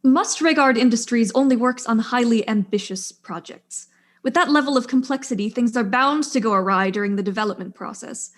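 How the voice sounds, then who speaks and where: A woman's voice: fairly high pitch, fairly quick, close to an everyday voice. A woman playing a proud, weary widowed aerospace engineer, speaking with dry, exasperated formality in a stage-style mystery drama. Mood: bitter.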